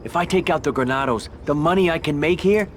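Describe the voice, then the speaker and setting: A man's voice: on the high side, fast talker, slight background noise, close to an everyday voice. A man acting as a tough, businesslike mafia boss in a video game, direct, terse and confident.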